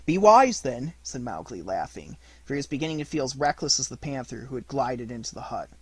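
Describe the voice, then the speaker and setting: A man's voice: mid-range voice, lively intonation, quick pace, slightly rough, dry recording. A man reading a literary story aloud as an audiobook narrator, in a scripted narration style.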